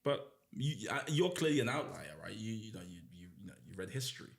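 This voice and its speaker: Teasing man, low voice. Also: very animated, quick pace, vocal fry. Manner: teasing, laughs often, drops to quiet asides. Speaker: podcast host answering questions. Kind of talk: casual podcast chat.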